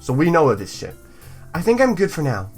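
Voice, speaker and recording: Let's play host, male: jokey, expressive, swinging intonation, reads game narration aloud, faint music behind. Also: a little high, moderate pace, slightly rough. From United States. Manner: jokey, goofy, animated. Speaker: gaming video host.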